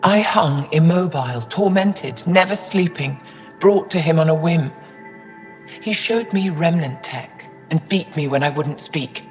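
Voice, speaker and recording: A woman's voice: sits low, measured pace, a little mellow, big-room sound, soft background music. An actress playing a proud, stern older female alien scientist in a video game, speaking formally and pointedly. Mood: haunted, accusing. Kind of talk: dramatic video game acting.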